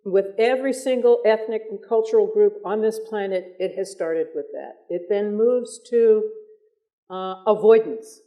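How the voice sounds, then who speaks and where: An older man, a retired professor and moderator, explaining in a measured, lecturing manner. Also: high voice, dark tone, dull audio. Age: 60s or older.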